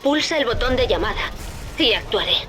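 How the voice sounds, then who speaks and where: High-pitched, determined young daughter played by a woman. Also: expressive, fairly quick, close to an everyday voice. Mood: determined, urgent. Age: young adult. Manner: urgent, instructing. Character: determined, devoted young daughter guiding her father. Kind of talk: dramatic video game acting. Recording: clear noise under the voice.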